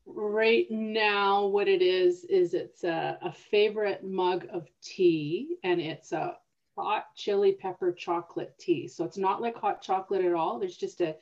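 A woman's voice: mid-range voice, fairly even intonation, unhurried, darkish tone, lo-fi sound. A woman co-hosting a casual conversation, thinking aloud with small restarts. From Canada. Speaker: co-host. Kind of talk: casual conversation over video call.

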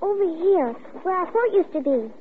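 A woman's voice: extremely high pitch, expressive, moderate pace. An actress playing an anxious, insistent young girl who pleads with urgency in an old radio drama. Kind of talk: 1940s radio acting. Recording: tinny, noisy old radio recording.

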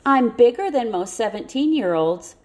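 A woman's voice: on the high side, animated, normal speed, darkish tone, pitched above the performer's own voice, close to an everyday voice. A woman voicing an earnest, pleading, heartbroken teenage farm boy in an audiobook.

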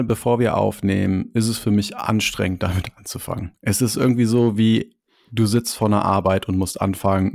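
A man's voice: lowish voice, creaky, a little mellow, no room sound, studio quality. A man co-hosting a podcast, speaking thoughtfully and hedging as he weighs his points. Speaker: podcast co-host. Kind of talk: casual podcast chat.

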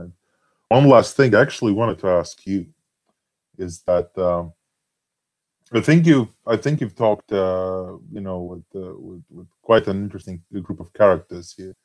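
A man with a Latvian accent speaks in a slightly low voice. He talks in a casual, halting way, full of "you know" and restarts. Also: a little mellow. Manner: hesitant, many fillers and restarts. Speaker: forum member being interviewed. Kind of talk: casual conversation.

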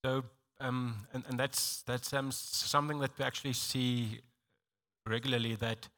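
A South African man leading a church service, talking with halting, stammering restarts. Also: mid-range voice, flat delivery, sharp tone. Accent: South African English. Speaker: pastor. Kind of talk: sermon-like church talk.

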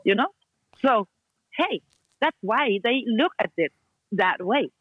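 A woman's voice: on the high side, animated, takes it slowly, breathy edge, dry recording. Blunt woman on a dull, dry call line. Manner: blunt and emphatic, non-native grammar, repeated commands. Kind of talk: casual chat.

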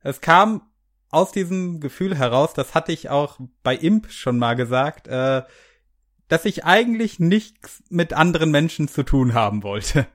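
Male co-host, casual chat delivery, slightly high-pitched voice. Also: sing-song, unhurried, fairly bright tone. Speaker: podcast co-host.

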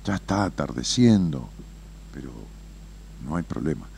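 A man voicing a calm, kindly old man in a spoken parable, soft and patient. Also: very deep voice, measured pace, vocal fry, slight background noise, voice pushed down, full character voice. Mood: gentle. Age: older.

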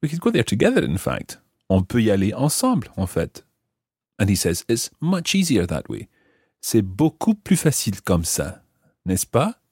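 A man hosting a language lesson, explaining example phrases piece by piece with careful, measured articulation. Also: lowish voice, huge pitch swings, a little mellow, breathy edge, no room sound, clean studio sound. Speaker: language teacher and host.

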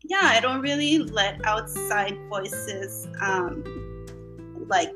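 A woman's voice: medium pitch, expressive, takes it slowly, over music. A woman in her thirties from the Philippines, a podcast guest talking reflectively in casual conversation with many fillers. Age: 30s.

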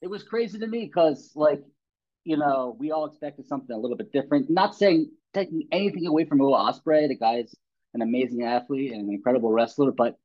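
A man who works as a comedian, talking in a relaxed, easygoing way with many fillers, calling in over the web. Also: medium pitch, brisk. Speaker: comedian guest. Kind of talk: casual podcast chat. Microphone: remote web call. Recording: lo-fi remote web call.

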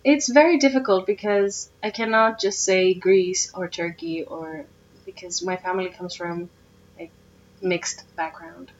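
A woman's voice: mid-range voice, lively intonation, a little slow. A woman explaining her mixed background while searching for words. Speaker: interviewee. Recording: distant, muffled recording with a hum.

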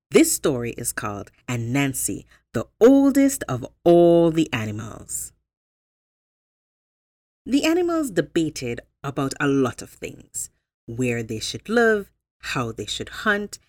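A woman hosting as a storyteller, telling folktales with dramatic pauses and emphasis. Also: sits low, a little mellow, dry recording. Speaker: storyteller, host.

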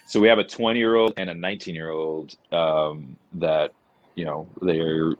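A man's voice: rumbling low, fairly even intonation, measured pace. A man in his forties chatting casually as a podcast guest, in a rambling, informal way. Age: 40s. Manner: informal, peppered with "man" and "you know". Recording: dull lo-fi audio.

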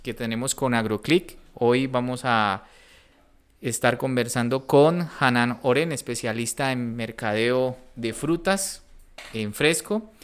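A man's voice: medium pitch, takes it slowly, clean tone. A man hosting a podcast, asking questions in a conversational interview style.

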